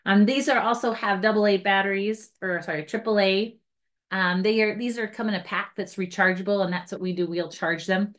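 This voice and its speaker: A woman's voice: medium pitch, steady tone, brisk, fairly bright tone. A woman giving an informal training demonstration, explaining things step by step in a casual, chatty way. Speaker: trainer of outdoor guides.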